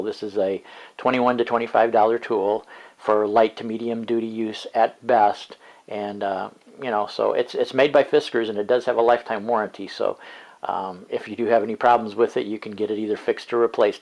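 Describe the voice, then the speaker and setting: An older man with a lowish voice hosts his own show from a small room at home. He rambles along easily, dropping fillers as he goes, talking close to a webcam. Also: low-quality recording. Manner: rambling, relaxed, many fillers. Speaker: show host. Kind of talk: casual video show talk.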